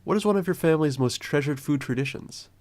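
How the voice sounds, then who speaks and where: A man giving measured, scripted documentary narration and asking interview questions. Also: a little high. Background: music.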